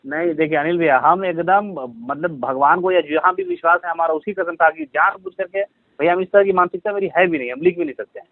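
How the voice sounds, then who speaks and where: A Bihari man with a fairly high voice explains himself on a dull, tinny phone recording. He is deferential and pleading, apologising and respectfully addressing the other person as an elder brother. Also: animated, brisk, creaky, dry recording. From Bihar, India. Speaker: caller being confronted over a social media comment.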